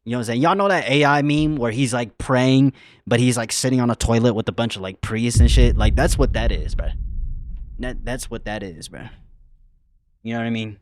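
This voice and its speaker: A man's voice: mid-range voice, a little fast. A young man giving casual, rambling reaction commentary, full of slang and fillers. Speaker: reaction streamer.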